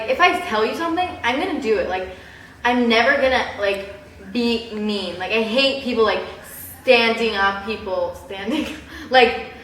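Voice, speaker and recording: A young woman chatting casually in a personal vlog, with a bubbly, animated, giggly way of exaggerating things. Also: fairly high pitch. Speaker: vlogger. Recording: roomy echo, distant mic, background hiss.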